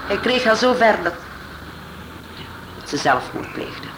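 A woman's voice: mid-range voice, lively intonation, a little slow, natural voice. An actress playing a vengeful woman witness who talks earnestly in a radio play. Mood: grave. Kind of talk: vintage radio play acting. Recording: background noise.

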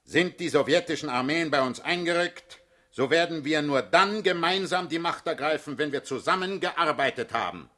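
Commanding party boss, bright hard voice. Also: male voice, on the high side, normal speed. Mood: hard, insistent. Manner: authoritative, curt, clipped orders. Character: commanding male party boss, cool, hard-headed, unsentimental. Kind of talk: German radio drama acting.

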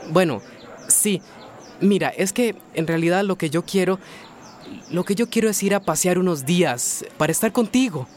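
Un actor que interpreta en una radionovela a un hijo adolescente, entusiasta, que ruega con titubeos. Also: male voice, high voice, lively intonation, normal speed. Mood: pleading. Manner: hesitant, pleading, repeats himself. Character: eager teenage son about to finish school. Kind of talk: radio drama acting.

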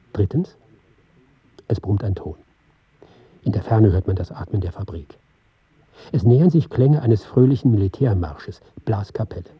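An intent reciter of a sound score in a radio play. His voice is very husky and sits at a medium pitch, with precise, deliberate phrases. The studio sound is dry and slightly dull. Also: no room sound, exaggerated voice. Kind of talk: dramatic scripted reading.

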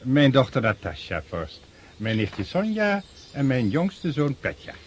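A man's voice: mid-range voice, huge pitch swings, a little slow, a little hiss or hum. An actor playing an indulgent older nobleman and father in a radio drama, affable, courteous and slightly bustling. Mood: fond. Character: genial, indulgent older count, fatherly and hospitable.

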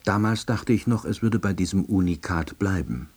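Deep, husky, deadpan salesman narrator. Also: moderate pace. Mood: dry, reflective. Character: wry, hapless middle-aged salesman telling his story. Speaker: narrator and lead of an audio drama. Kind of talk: scripted first-person narration.

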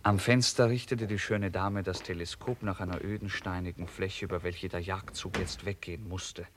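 A man narrating a literary radio play in a measured, formal storytelling manner. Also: deep voice, fairly even intonation, background noise.

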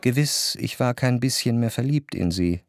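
An actor playing a reflective society narrator in a literary radio drama, with a measured, introspective way of talking. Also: male voice, mid-range voice, expressive, natural voice. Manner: measured, elaborate, introspective phrasing. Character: reflective male narrator recalling high-society soirées.

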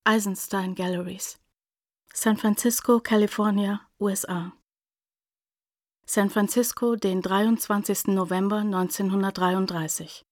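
A woman reading as a formal, detached announcer in a scripted radio play, with deliberate phrasing. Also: mid-range voice, steady tone, a little mellow, no room sound, clean studio sound, lightly acted. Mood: neutral, detached. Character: formal female reader of addresses and dates. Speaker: announcer of letter headings.

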